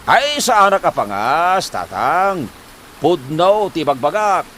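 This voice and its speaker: An actor playing a scheming husband who coaxes his wife to work abroad, speaking with an Ilocano accent in a lively, pushy way. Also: a little high, slow, a little hiss or hum, full character voice. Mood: boasting. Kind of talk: comedy drama acting.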